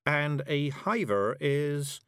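A man voicing a stern, dry-humoured middle-aged witch who speaks slowly and deliberately. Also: on the high side, lively intonation, a bit bright, smooth, no echo, pitched above the performer's own voice. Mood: curious.

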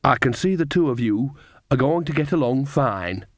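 A man's voice: medium pitch, animated, moderate pace, no echo, clean studio sound, natural voice. An earthy old storybook witch voiced by a man, shrewd and knowing. The old woman is plain-spoken and down to earth. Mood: dry. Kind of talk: audiobook character voice.

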